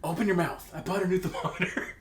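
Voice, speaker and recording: A man's voice: high-pitched, steady tone, fast, pitched above the performer's own voice, natural voice. Man playing anxious young guy, raised voice. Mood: concerned. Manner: anxious, emphatic. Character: worried, caring young male friend, urgent and fussing. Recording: roomy echo, noisy background.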